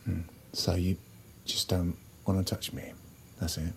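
An actor playing an insecure, pleading husband in an intimate audio drama, halting and stammering, sometimes breathy. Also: male voice, sits low, little pitch movement, a little hiss or hum. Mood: hurt, pleading. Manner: halting, stammering, repeats himself. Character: pleading, insecure, flustered husband in a failing marriage. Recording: music under the dialogue.

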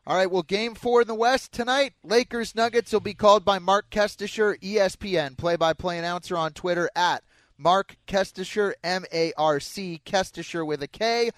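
A man's voice: high-pitched, moderate pace, no room sound. A man from Denver working as a fill-in host on a sports talk radio show. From Denver, United States.

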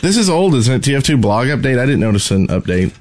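A man's voice: mid-range voice, very animated, fast, a little mellow. A man co-hosting a gaming podcast, chatting casually with a wry, joking manner. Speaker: podcast co-host.

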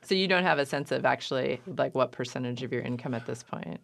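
A woman hosting a radio show about music, reading a scripted intro and then asking interview questions in a measured, conversational way. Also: a bass voice, gravelly, dry recording. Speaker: radio show host and record label owner.

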